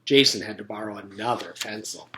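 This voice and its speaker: A man's voice: fairly low pitch, brisk, a bit bright, slight background noise. A man narrating a children's story in a read-aloud style, as a teacher would for pupils.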